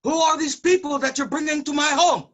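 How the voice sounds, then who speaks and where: A man's voice: very high voice, fairly even intonation, fairly quick, a bit bright. An actor playing a caring, responsible brother, an earnest man in a staged drama. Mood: angry. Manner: earnest, protective, scolding when angry. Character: Macario, caring, responsible, bookish Filipino brother. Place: online virtual staged reading.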